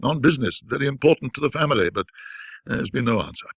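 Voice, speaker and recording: A man's voice: medium pitch, lively intonation, fast talker, slightly rough, no echo, phone-quality sound. A man voicing a chatty, knowledgeable country rector in a ghost story, with theatrical, conspiratorial asides. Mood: musing, regretful. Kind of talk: classic ghost story narration.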